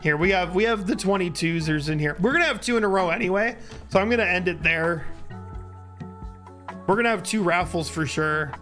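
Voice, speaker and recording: A man in his early thirties with a hoarse voice, chatting casually as a live streamer on a close mic. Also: high voice, fairly quick, bright, forceful tone. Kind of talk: casual livestream chat. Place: indoor home streaming room. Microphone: close boom-arm microphone. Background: music.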